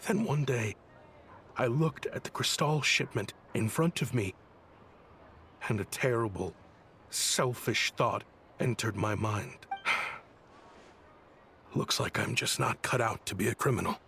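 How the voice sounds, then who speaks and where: An actor playing a smooth, contrite town liaison in a video game, with a pleading, theatrical manner. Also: male voice, mid-range voice, takes it slowly, pauses often, sharp tone, a little hiss or hum, exaggerated voice.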